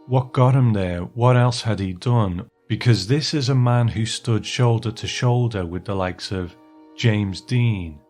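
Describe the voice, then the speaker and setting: A man hosting a podcast with a scripted introduction, speaking in an earnest, measured way. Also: fairly low pitch, a little mellow, soft background music. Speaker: podcast host.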